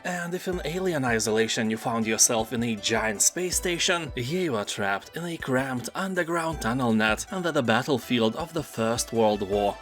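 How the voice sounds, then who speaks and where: A man's voice: medium pitch, fairly bright tone. A man narrating a game deals video as a scripted voice-over, with wry joking asides.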